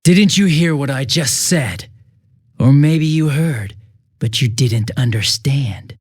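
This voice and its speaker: An actor playing a smug, mocking young detective turned murderous villain in a video game, swinging theatrically between bored drawl and giddy taunts. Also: male voice, mid-range voice, takes it slowly, a little mellow, husky, no room sound, studio quality. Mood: bored, contemptuous.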